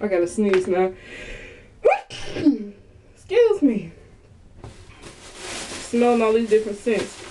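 A woman's voice: medium pitch, very animated, takes it slowly, long pauses, mellow, a little hiss or hum. A woman, chatting animatedly to viewers in a casual haul video from a small bedroom, recorded on a webcam. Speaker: vlogger.

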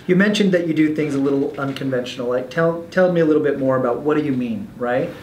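A man's voice: medium pitch, quick pace, a little mellow, slight background noise. A man in his thirties hosting an interview in an office meeting room, asking questions loosely with many fillers. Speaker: interviewer, host. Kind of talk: casual interview. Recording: echoey room from a distance.

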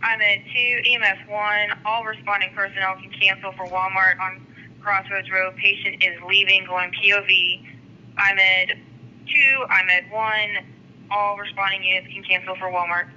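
A man's voice: high-pitched, little pitch movement, moderate pace, pushed and bright. A man working as an emergency dispatcher, making procedural announcements over the radio and repeating details. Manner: procedural, repeats addresses and instructions. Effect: radio transmission. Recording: dull lo-fi two-way radio.

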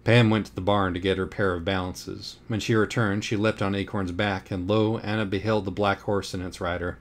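A man reading a parody novel aloud as dramatic scripted narration, in a solemn deadpan way. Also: low-pitched, normal speed. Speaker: audiobook reader.

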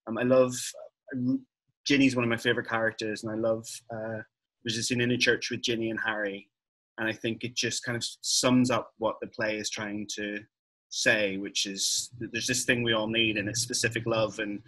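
Bright-voiced, effusive middle-aged man. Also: on the low side, husky, rough recording. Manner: appreciative, effusive. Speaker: member of theatre company. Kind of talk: casual interview answer. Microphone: video call.

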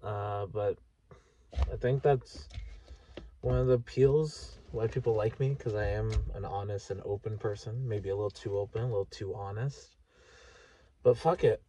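A man of about thirty, talking frankly and haltingly in a sweary, candid personal vlog monologue. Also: fairly low pitch, steady tone, dark tone, far from the mic. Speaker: vlogger. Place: inside a parked vehicle at night.

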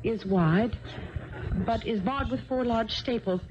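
Anxious, genteel young heiress, muffled. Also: female voice, on the high side, slow pace, a little mellow. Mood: sombre, earnest. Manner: earnest, proper, formal. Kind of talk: 1970s radio drama acting. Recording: muffled, noisy 1970s radio recording.

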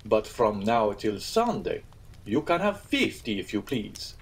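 A man's voice: lowish voice, sing-song, unhurried, a little hiss or hum. A man voicing a fawning middle-aged Italian innkeeper in an audiobook reading, with an eager, obsequious manner.

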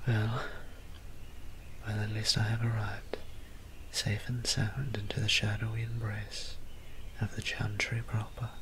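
A man playing a gentle, courtly young vampire priest in an ASMR roleplay, soft and breathy, with hesitant, formal, tender phrasing. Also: on the low side, a little hiss or hum, exaggerated voice. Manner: hesitant, tender, formal old-fashioned wording. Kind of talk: ASMR roleplay audio drama.

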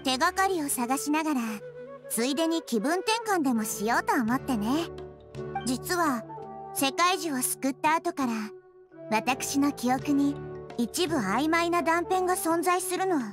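A calm, wise young girl goddess in a video game. Her voice is high and soft, and she speaks in a composed, polite way. Game music plays clearly behind her. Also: measured pace. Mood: gentle.

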